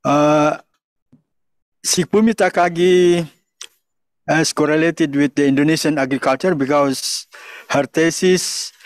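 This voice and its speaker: A man's voice: a little high, steady tone, dry recording. A man moderating an online conference, introducing the next speaker and stumbling over her name. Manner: slow, halting, stumbles on names.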